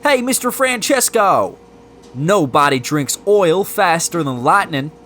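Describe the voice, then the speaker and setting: A man's voice: on the high side, big pitch swings, unhurried, fairly bright tone, a little hiss or hum, pitched above the performer's own voice. A man voicing a folksy, loyal tow truck buddy in a children's storybook. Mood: challenging. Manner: folksy, egging on.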